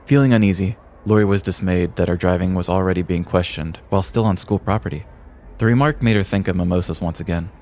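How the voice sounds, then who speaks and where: An American man reading a scripted comedic story in a deliberate, theatrical storyteller's manner. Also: low voice, darkish tone. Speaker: podcast host telling a story.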